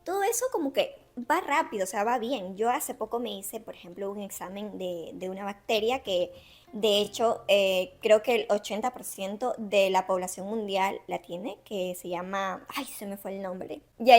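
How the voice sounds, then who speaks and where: A woman's voice: mid-range voice, moderate pace. An Ecuadorian woman from Ecuador chatting casually to camera, explaining with examples and speaking straight to the viewer. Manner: chatty, gives examples, addresses the viewer directly. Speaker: interviewee sharing her experience. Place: Barcelona.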